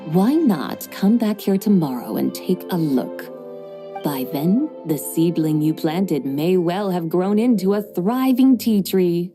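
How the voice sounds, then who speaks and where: A woman voicing a composed, gently teasing young woman with a secret in a game cutscene. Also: lowish voice, animated, slow. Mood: serene. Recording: soft game music underneath.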